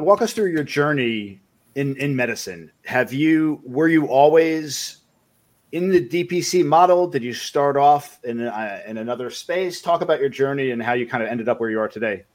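A man hosting a podcast interview, asking loosely phrased questions in a relaxed, conversational way. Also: mid-range voice, normal speed. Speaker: podcast host.

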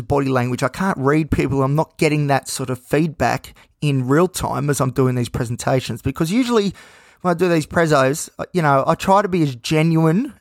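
A man hosting his own podcast, talking in a candid, rambling way with many fillers. He sounds a little croaky and nervous, with a dry mouth. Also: on the high side, no room sound. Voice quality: slightly croaky. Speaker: podcast host. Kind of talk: casual solo podcast monologue.

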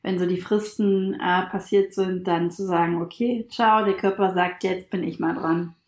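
A woman co-hosting a casual chat podcast, talking in a relaxed, teasing, colloquial way. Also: fairly low pitch, normal speed, reverberant. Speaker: podcast co-host.

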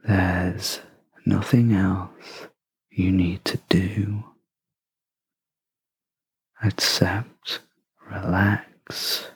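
Very slow, hushed, half-whispered sleep guide. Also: low-pitched, little pitch movement, breathy edge, no echo. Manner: gentle, soothing, long pauses between short phrases. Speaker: sleep meditation guide, host. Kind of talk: guided sleep meditation, soft scripted narration.

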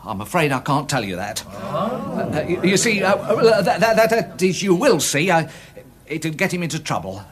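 A man's voice: a little high, very animated, moderate pace, crowd noise. An actor playing a respectable, embarrassed little Englishman giving evidence in a radio drama, prim, hesitant and stammering. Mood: anxious, embarrassed.

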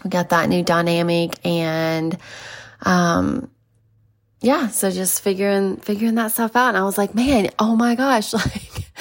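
A woman in her thirties hosting a casual solo podcast, chatty with lots of fillers and little laughs, her voice congested and nasal. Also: medium pitch. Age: 30s. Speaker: podcast host.

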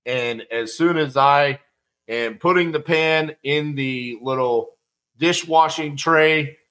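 A middle-aged man tells a personal story as a casual monologue. His voice is bright and forward, and he keeps it conversational and animated. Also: a little high, slow pace. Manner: conversational, animated, acts out quotes. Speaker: podcast host telling a personal story.

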